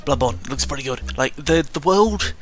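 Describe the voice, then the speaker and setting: Bright-voiced man rambling about video games. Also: medium pitch, animated, normal speed. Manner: rambling, self-deprecating, sweary. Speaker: gaming video creator. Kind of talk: casual unscripted gaming commentary. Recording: music behind the voice. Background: background music.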